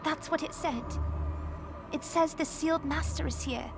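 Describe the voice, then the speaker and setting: A woman's voice: high-pitched, normal speed, background noise. A woman voicing a small, childlike, gluttonous girlish creature in a video game. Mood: ominous.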